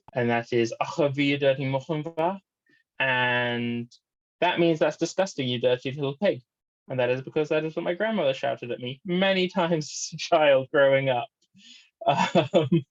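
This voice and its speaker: A man with an English accent talks through a personal presentation in an explanatory, reflective manner. His voice sits at an average male pitch. The recording is dry, with a dull, lo-fi sound. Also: dry recording. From Southwest London, England.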